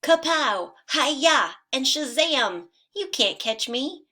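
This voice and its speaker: A cocky little gingerbread man, put on by a woman reading a storybook aloud, chanting his taunts in a high, loud sing-song. The voice sits well above her own and rings out bright and forceful. He is boastful and bouncy from start to finish. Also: expressive, takes it slowly, heavily acted. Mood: taunting.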